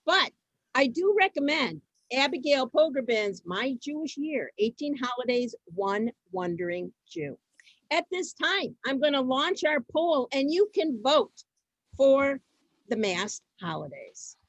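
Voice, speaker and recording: A woman hosting an online event over a video call, chatting informally and haltingly, often repeating her phrases. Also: sits high, big pitch swings, dry recording, low-quality recording. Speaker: host of an online reader's theater event.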